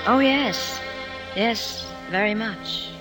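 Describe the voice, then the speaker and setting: An actress playing a reserved, troubled career woman with halting restraint in a 1950s radio drama. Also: mid-range voice. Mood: tender, hesitant. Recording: 1950s radio broadcast with loud music.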